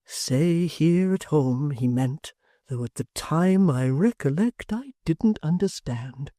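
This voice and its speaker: Reader voicing kindly locksmith, middle-aged man, gentle, earnest, slow, warm tone. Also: on the high side, animated, no room sound, clean studio sound.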